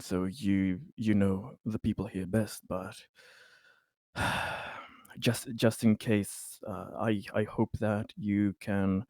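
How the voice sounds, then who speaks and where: Guy doing a careful man quietly confiding in a coworker, halting, low and dry, rpg game. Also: no room sound. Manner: hushed, halting, cautious. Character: Conrad, a man discreetly confiding in a colleague. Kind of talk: tabletop roleplay in character.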